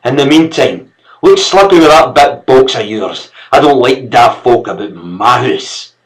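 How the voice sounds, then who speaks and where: A man voicing a domineering Scottish householder who speaks quietly and menacingly, with a Scots accent. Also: medium pitch, expressive, a little slow, lightly acted. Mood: cold menace.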